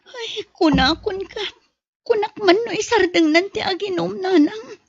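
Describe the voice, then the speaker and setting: A woman's voice: extremely high pitch, a little slow, vocal fry, no echo, exaggerated voice. A woman acting a weary, fed-up young wife and mother in an Ilocano radio drama, plaintive in manner. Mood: dismayed, weary. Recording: muffled dry close recording.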